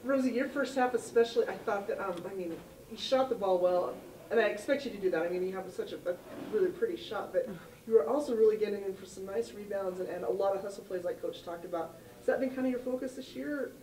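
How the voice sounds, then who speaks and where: A woman's voice: medium pitch, fast talker, warm tone, a little hiss or hum. A woman reporter asking players chatty, rambling questions at a press conference. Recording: roomy, distant off-camera mic.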